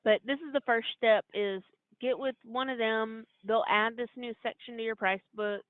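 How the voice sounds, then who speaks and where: A woman's voice: a little high, steady tone, normal speed, no room sound. A woman giving an informal, step-by-step walkthrough as a trainer on a conference call. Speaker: trainer explaining software steps. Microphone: conference call line. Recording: narrow, tinny conference-call line.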